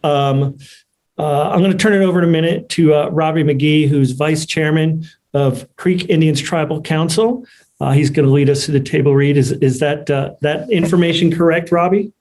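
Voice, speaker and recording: Higher-pitched man hosting, halting delivery. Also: darkish tone. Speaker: host of an online award ceremony. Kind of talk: unscripted event hosting. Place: remote video call.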